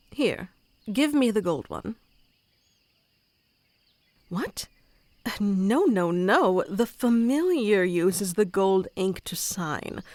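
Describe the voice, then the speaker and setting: A woman's voice: mid-range voice, no room sound, natural voice. A woman voicing an obsessive, clingy and powerful female kitsune familiar in an intimate, theatrical ASMR roleplay. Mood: composed, instructive. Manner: intimate, theatrical, swinging between hushed and pleading.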